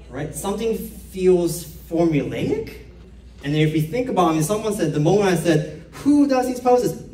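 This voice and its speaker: A young man from Korea speaks to a theater crowd in a playful, chatty way, often throwing questions at them. His voice is fairly high and jumps around a lot. The sound is roomy and picked up from some distance, with a faint hum of room noise behind it. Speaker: speaker giving a stage talk. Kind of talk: lively stage talk to an audience. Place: dark theater stage with an audience. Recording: roomy stage sound heard from far off.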